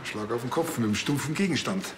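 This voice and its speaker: An actor playing a wry, understated police officer in a TV crime comedy. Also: male voice, mid-range voice, expressive, fast talker, background noise, lightly acted. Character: laid-back, wry male police officer.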